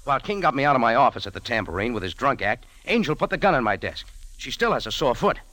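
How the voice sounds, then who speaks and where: An actor playing a wry, hardboiled American cafe owner and amateur detective in 1940s radio drama, with slangy, clipped delivery. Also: male voice, mid-range voice, very animated, quick pace, sharp tone, no room sound. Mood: brisk, sardonic. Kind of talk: 1940s radio detective drama acting and first-person narration. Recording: vintage radio drama recording with music.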